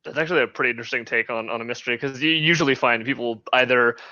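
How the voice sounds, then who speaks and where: A fast-talking man with a bright, pressing voice hosts a casual podcast. The sound is very dry and slightly dull, like a voice chat. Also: mid-range voice, no room sound. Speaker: podcast host.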